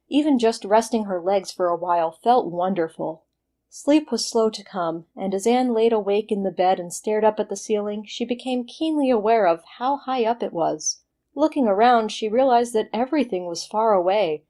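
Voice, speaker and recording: A woman's voice: mid-range voice, warm tone. A woman working as a narrator, reading scripted material for an audio story production.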